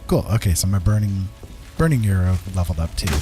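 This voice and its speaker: Man, middle-aged, fairly low voice, easygoing gameplay commentary, chatting to viewers, prominent background music. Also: animated, fast talker. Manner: easygoing, thinks aloud. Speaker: game streamer. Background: loud game music.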